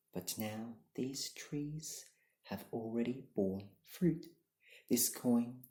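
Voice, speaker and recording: A young adult reading bedtime stories in a soft-spoken, partly whispered ASMR style, with a gentle, deliberate and hushed delivery. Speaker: ASMR storyteller. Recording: low-quality, echoey room recording.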